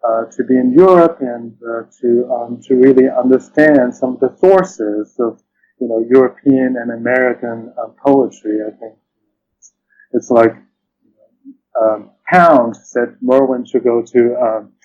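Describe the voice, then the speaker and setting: Halting, thoughtful man, dull lo-fi recording. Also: medium pitch, steady tone, a little mellow. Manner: halting, thoughtful, often says "you know". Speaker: interview guest, literary translator. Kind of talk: reflective interview conversation.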